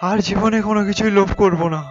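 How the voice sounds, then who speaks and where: Gullible cartoon fishmonger, male actor, strikingly high voice, pleading delivery, muffled audio with music. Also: steady tone, fast, close to an everyday voice. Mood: remorseful. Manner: exclamatory, pleading. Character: gullible, greedy male fishmonger, frightened then remorseful. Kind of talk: Bengali cartoon story dubbing.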